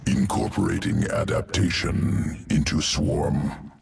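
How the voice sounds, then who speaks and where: A male alien creature from a video game that reshapes living flesh, his voice extremely low and gravelly. He talks in slow, clipped fragments with cold, clinical detachment. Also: a little mellow, exaggerated voice. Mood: detached. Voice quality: gravelly, very deep. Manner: terse broken grammar, detached and clinical.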